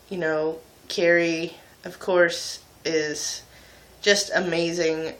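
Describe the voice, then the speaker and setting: A man's voice: a little high, bright, forceful tone, low-quality recording. A young adult man talking casually and candidly to viewers as a video blogger, in an informal, self-mocking way. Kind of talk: casual confessional chat to viewers.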